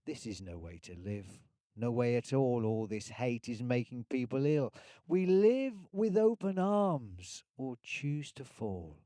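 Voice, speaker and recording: A man reciting poetry with deliberate, rhythmic phrasing. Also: mid-range voice, big pitch swings, warm tone, no echo, clean studio sound. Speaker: poetry reader.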